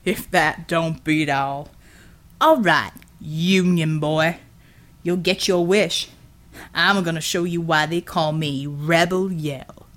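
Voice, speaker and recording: A woman voicing a heavy-set, bullying male gang leader with a slow, taunting drawl in an audiobook. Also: low voice, fairly even intonation, fairly bright tone, voice pushed down. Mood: gloating menace.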